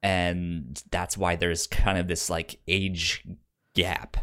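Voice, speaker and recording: A young adult man co-hosting a podcast, talking film casually in a tentative, halting way, often repeating syllables. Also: sits low, flat delivery, sharp tone, clear, resonant tone. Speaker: podcast co-host.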